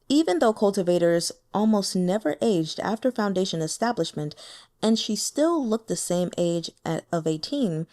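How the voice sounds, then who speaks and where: Expressive woman narrating an audiobook. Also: mid-range voice. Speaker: audiobook reader. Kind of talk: audiobook narration of a fan-translated novel.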